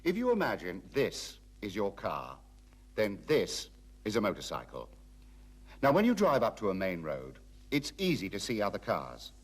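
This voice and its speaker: A British man with a husky voice presenting road safety advice, speaking directly and emphatically to the listener. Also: lowish voice, wildly swooping pitch, moderate pace, far from the mic.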